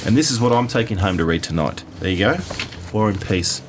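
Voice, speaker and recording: A man's voice: lowish voice, fairly quick, background noise, natural voice. A man playing an evasive, blustering bookseller in a comedy radio sketch. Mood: defensive bluffing. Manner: blustering, chatty, insistent.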